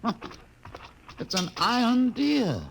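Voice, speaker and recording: An actor playing a musing, observant scientist on a space expedition in a 1950s radio drama. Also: male voice, very high-pitched, animated, takes it slowly, creaky, full character voice. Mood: curious, musing. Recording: noisy old 1950s radio recording.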